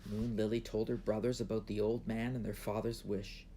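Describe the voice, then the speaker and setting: Guy reading a kids storybook out loud, expressive, bit of background noise. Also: on the low side, moderate pace. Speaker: author reading his book. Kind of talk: expressive children's storybook narration.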